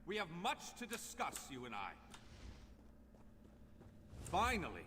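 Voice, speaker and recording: An actor playing an eager, impatient guild mage who calls out to the hero in a fantasy game. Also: male voice, high-pitched, wildly swooping pitch, slow pace, big-room sound, noisy background, heavily acted. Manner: calling out, impatient.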